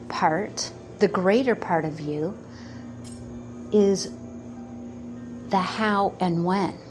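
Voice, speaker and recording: A woman in her fifties giving a slow, earnest motivational talk about manifesting, pausing often between phrases. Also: medium pitch, darkish tone, a little hiss or hum. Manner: slow, pausing, earnest, quotes doubters in put-on voices. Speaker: manifestation coach.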